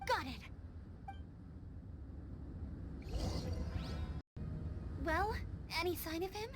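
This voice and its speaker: A woman voicing a caring, energetic young female adventurer in an anime-style game, girlish and earnest. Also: very high voice, fairly quick, music in the background. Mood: supportive. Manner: earnest, encouraging.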